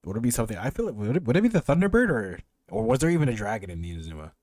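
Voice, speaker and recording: A man's voice: mid-range voice, sing-song, very fast, darkish tone, no room sound, clean studio sound. A man streaming a video game with casual commentary, rambling and swearing as he thinks aloud.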